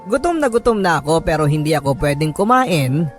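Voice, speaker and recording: Male Filipino radio storyteller, slightly high register, emotive and sorrowful first-person narration, light music bed. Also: big pitch swings, a little fast. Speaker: radio drama host reading a listener's letter. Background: background music.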